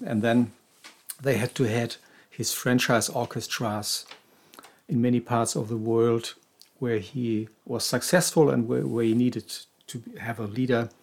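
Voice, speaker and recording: A man co-hosting a podcast, his voice sitting at an ordinary middle pitch. He explains things casually and knowledgeably, often restarting his phrases. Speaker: podcast co-host.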